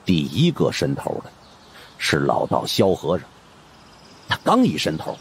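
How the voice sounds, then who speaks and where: A man's voice: very deep voice, wildly swooping pitch, unhurried, a little hiss or hum. A man narrating a horror story in a suspenseful, theatrical way. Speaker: audiobook narrator.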